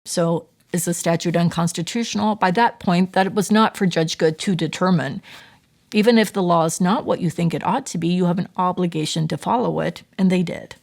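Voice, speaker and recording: A woman answers interview questions in a reflective, thoughtful way. Her voice is on the low side and often drops into a gravelly fry. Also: fairly quick, a little mellow. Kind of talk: casual interview.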